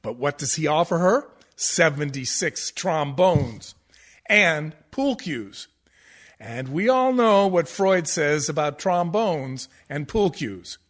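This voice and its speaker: An actor playing a pompous, condescending theatre professor who lectures pedantically in a comic radio play. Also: male voice, a little high, lively intonation, slow pace, fairly bright tone. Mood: haughty, pedantic. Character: pompous, condescending male musical-theatre professor, absurdly over-analytical. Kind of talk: comic radio play acting as interview guest.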